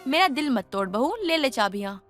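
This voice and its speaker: Woman acting high-voiced, emphatic mother-in-law. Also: animated, fairly quick, a bit bright, soft background music. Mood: trusting, persuasive. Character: older mother-in-law, household matriarch, trusting but scolding. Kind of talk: dramatized Hindi moral story acting.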